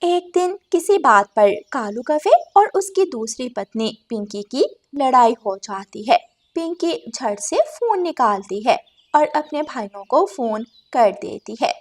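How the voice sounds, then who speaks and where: A woman's voice: high-pitched, expressive, measured pace. A woman narrating a children's story.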